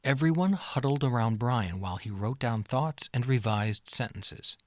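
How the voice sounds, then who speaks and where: Male narrator, children's story, medium pitch, scripted read, very dry recording. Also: lively intonation, normal speed, no room sound.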